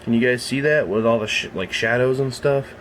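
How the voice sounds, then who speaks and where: A young man with a very low voice, just out of bed, rambling softly in a casual vlog as he films his snake at home. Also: a little fast, a little hiss or hum, poor audio. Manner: rambling, soft-spoken, keeps his voice down. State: just woke up. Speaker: reptile keeper filming his snake. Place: at home beside a reptile rack.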